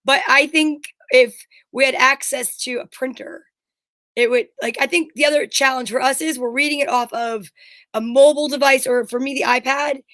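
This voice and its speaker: Female co-host, high-pitched, informal livestream chat, dry sound without room echo. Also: fairly even intonation, moderate pace, fairly bright tone. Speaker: show co-host.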